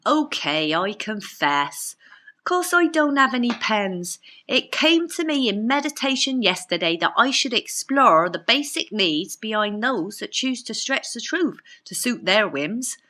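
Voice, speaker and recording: A woman's voice: on the high side, big pitch swings, a little slow, pushed and bright, full character voice. A woman voicing a meddling imaginary personality who is earnest, preachy and fussy, in a storybook reading. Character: meddling, chatty imaginary inner personality, preachy spiritual adviser.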